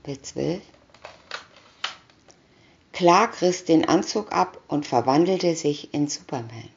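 Female storybook narrator: low-pitched, deliberate with pauses between captions, muffled lo-fi recording. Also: expressive, creaky, darkish tone. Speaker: reader of picture-story booklets. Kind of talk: scripted narration of a children's comic story. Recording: dull lo-fi recording.

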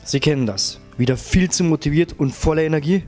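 A man's voice: on the high side, brisk, dark tone, lightly acted. Man as upbeat infomercial seller, emphatic parody-ad delivery over music. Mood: salesy. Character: upbeat male TV infomercial announcer selling energy drink.

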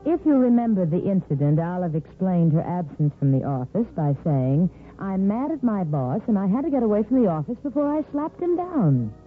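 A woman's voice: mid-range voice, very animated, moderate pace, few pauses, soft background music, close to an everyday voice. An actress as a knowing woman storyteller, narrating a 1950s radio soap opera with dramatic phrasing. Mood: confiding. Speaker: storyteller host. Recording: tinny 1950s radio recording.

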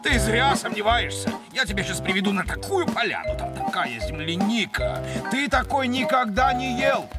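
A man's voice: sits high, big pitch swings, normal speed, loud music behind the voice. A man voicing a bossy, boastful older bird guide in a children's cartoon, lecturing with great self-assurance. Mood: bossy, confident.

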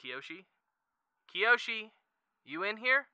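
A man playing a kind, earnest teenage boy in an animated fan drama, with a gentle, playful, conversational manner. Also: high-pitched, slow, clean tone, no echo, clean studio sound, full character voice. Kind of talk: fan visual novel voice acting.

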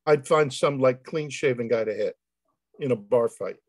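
A man speaking off the cuff in a comic, excitable way, often repeating words as he goes on a video call. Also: mid-range voice, normal speed, dry recording, studio quality. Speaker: panel reader answering questions. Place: indoor room on a video call.

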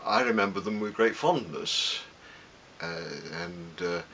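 A British man in his seventies reminiscing in an interview, speaking haltingly with many hesitant pauses. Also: low-pitched. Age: 70s. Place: small book-lined room at home. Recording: steady background hiss.

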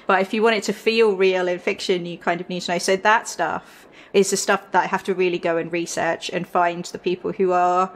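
A woman's voice: fairly low pitch, level tone, fairly quick. Chatty woman in casual conversation. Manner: chatty, laughs readily. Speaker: historical consultant, podcast guest. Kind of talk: casual interview chat.

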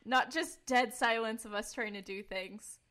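A woman's voice: on the high side, unhurried, a bit bright. A young woman co-hosting a podcast, talking at length in a casual, emphatic way with a commanding voice. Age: young adult. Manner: talkative, informal, emphatic. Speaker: podcast co-host. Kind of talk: casual personal testimony and chat.

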